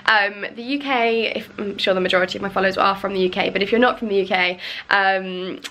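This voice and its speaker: A young woman vlogging casually to camera at arm's length in her living room, bubbly and chatty, her voice slightly croaky from a cold. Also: medium pitch, steady tone, fast talker, bright, forceful tone, a little hiss or hum, poor audio. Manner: bubbly and chatty, many ums. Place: bright home living room indoors. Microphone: handheld camera at arm's length.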